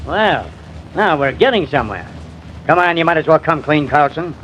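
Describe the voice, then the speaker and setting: A fairly high-voiced, sly police detective whose pitch swings widely. He is folksy and needling, in the manner of 1950s radio crime drama, on a muffled old recording. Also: big pitch swings, normal speed, fairly bright tone, slight background noise. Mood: sly, pressing. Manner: sly, pressing, sardonic.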